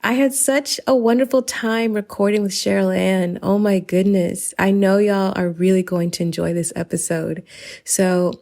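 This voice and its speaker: A woman speaks as a podcast host at a middle pitch. Her delivery is informal and chatty, addressing listeners directly as she moves through announcements and introductions. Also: fairly even intonation, normal speed, creaky, a little mellow.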